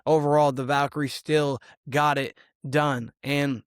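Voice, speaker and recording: A hoarse man hosting a solo basketball show, talking through games and stats in a casual, rambling way. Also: on the high side, little pitch movement, unhurried, dry recording, clean studio sound. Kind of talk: solo sports analysis podcast.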